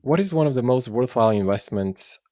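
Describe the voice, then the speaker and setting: A man's voice: medium pitch, animated, a little fast, mellow, dry recording, clean studio sound. A man hosting a podcast, chatting casually and putting questions to his guest. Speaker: podcast host. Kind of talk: casual interview chat.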